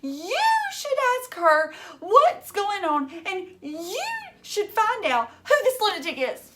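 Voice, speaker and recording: A woman's voice: extremely high pitch, very animated, slow pace, sharp tone, a little hiss or hum, pitched above the performer's own voice, heavily acted. A woman voicing an indignant, dramatic young girl in a children's novel read aloud, insistent and emphatic. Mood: indignant, upset.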